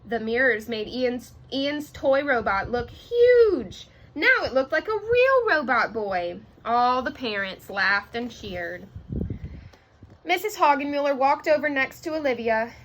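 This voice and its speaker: A woman reading a children's story aloud in an expressive narrating style. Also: high-pitched, measured pace, slight background noise. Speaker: storybook reader.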